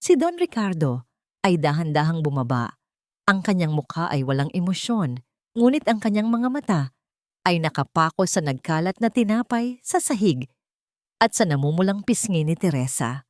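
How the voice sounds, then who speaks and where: A woman's voice: lowish voice, big pitch swings, moderate pace, no room sound, clean studio sound. A woman reading dramatic scripted story narration as an audiobook narrator.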